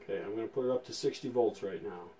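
A man's voice: lowish voice, quick pace, dark tone, a little hiss or hum. A man giving a casual hands-on demonstration as a vintage radio restorer, thinking aloud in an informal, tentative way.

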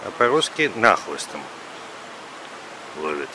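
Wry man narrating by a rushing stream. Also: lowish voice, big pitch swings. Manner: wry, commenting on what he films. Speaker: person filming a fishing trip. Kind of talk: casual narration while filming. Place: outdoors by a river. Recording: outdoor recording with loud stream noise.

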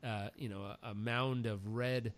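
A man's voice: medium pitch, steady tone, unhurried. A man with a mid-range voice hosting a podcast, chatting with enthusiasm and drifting into long anecdotes. He often stumbles and repeats a word before getting going. A faint hiss sits under his voice. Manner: enthusiastic, rambling, repeats words when starting. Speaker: podcast host. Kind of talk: casual interview chat, storytelling.

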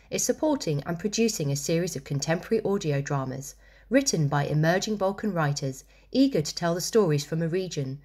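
A woman with a low-pitched voice hosts an interview. She delivers prepared introductions and questions in a scripted style. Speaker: host, interviewer.

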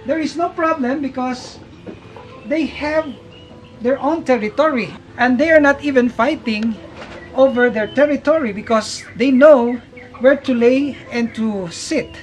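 A man's voice: very high voice, unhurried, soft background music, poor audio. A middle-aged man, a farm vlogger, giving an upbeat casual tour of his outdoor farmyard and coop while explaining to viewers.

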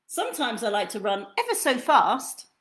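A young woman reading picture books aloud to children in an expressive, theatrical way, drawing out key words. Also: fairly high pitch. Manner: theatrical, deliberate, drawn-out delivery of key words. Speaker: children's storytime reader. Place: small indoor room at home.

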